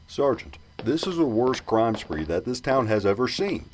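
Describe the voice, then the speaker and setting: A man's voice: mid-range voice, very animated, moderate pace, very noisy, lightly acted. An actor playing an insistent male news reporter in an amateur radio drama. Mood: pushy. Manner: insistent, pressing.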